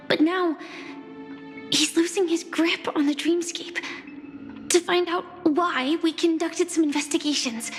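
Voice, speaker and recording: A woman voicing a gentle, polite girl in a video game, sincere and quietly determined. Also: sits high. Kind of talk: video game character dialogue. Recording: long reverb, music behind.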